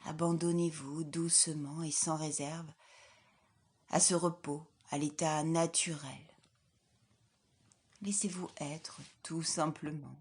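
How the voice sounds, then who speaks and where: A woman leading a guided yoga nidra relaxation as an instructor, in a calm, soothing, measured way with soft, breathy phrase endings. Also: deep voice. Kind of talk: guided relaxation meditation, scripted.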